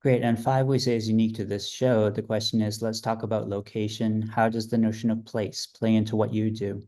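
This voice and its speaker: A man hosting a podcast, working through a numbered list of questions in a brisk, steady way. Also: fairly low pitch. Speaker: podcast host.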